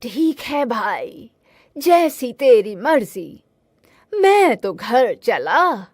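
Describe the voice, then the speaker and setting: A woman voicing a good-natured, easily satisfied male villager in a cartoon story, drawling and expressive. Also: fairly high pitch, very slow, no room sound, clean studio sound, full character voice. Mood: resigned.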